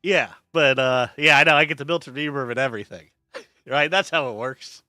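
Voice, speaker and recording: Bubbly bright-voiced man. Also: mid-range voice, a little fast, no echo, clean studio sound. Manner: bubbly, laughs often, rambling. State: sore throat from a strained vocal cord. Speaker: co-host. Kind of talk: casual podcast chat.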